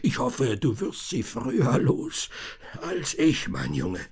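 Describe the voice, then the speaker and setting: A man's voice: medium pitch, wildly swooping pitch, slow, darkish tone, husky, pitched above the performer's own voice, exaggerated voice. A man voicing a weathered, haunted old tinker who speaks urgently in a raised voice.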